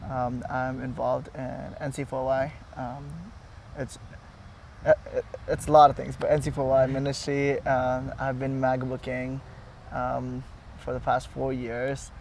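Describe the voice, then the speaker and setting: Creaky-voiced young man in outdoor noise. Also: mid-range voice, monotone, a little slow, darkish tone. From Nepal. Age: young adult. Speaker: student and Bible worker, interview guest. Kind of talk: casual interview chat.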